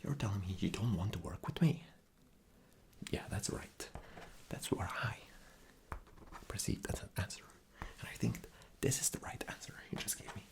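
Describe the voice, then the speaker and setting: A man's voice: lowish voice, animated, normal speed, creaky. A man doing an ASMR roleplay as an arrogant, impatient, rude boss, with a condescending, demanding manner. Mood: impatient, scornful. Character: arrogant, impatient, rude male boss interviewing a job applicant.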